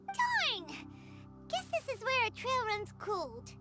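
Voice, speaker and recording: A woman voicing a small, naive, chirpy fairy companion with a squeaky childlike voice in a video game. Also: huge pitch swings, slow, over music, heavily acted. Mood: eager, peeved.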